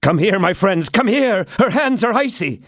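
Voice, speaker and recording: A man's voice: sits high, animated, moderate pace, fairly bright tone, dry recording, clean studio sound, pitched above the performer's own voice. A man voicing a passionate young swordsman, urgent and anguished, in an audiobook reading. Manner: urgent, crying out.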